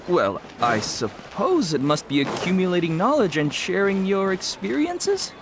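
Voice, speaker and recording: A woman's voice: lowish voice, very animated, unhurried, heavy background noise. A woman playing a polite, eager female organiser in a video game, speaking formally and accommodatingly. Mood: polite, reassuring. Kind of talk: English video game voice acting.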